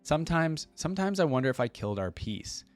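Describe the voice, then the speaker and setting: A man's voice: fairly high pitch, sing-song, fairly quick, faint music behind, voice pushed up. A man reading the lines of a burdened, doubting young woman warrior leader from a story, solemn and hesitant. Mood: troubled doubt.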